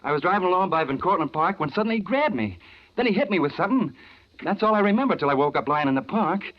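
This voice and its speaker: A man's voice: sits high, expressive, fast. An American man acting a scheming, jittery husband who narrates his crime in slangy, intense 1940s radio thriller style. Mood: feigned distress. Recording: muffled vintage radio recording with music.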